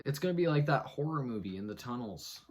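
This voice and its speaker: Man hamming up a dramatic reading, lively swooping pitch, loud-then-hushed delivery, comic asides. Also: fast talker. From United States. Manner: mock-dramatic, swings between loud and hushed. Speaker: host.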